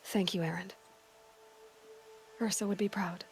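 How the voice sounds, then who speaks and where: A woman's voice: mid-range voice, steady tone, measured pace, natural voice. Determined young warrior woman played by an actress. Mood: soft, sincere. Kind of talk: cinematic video game voice acting. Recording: music behind the voice.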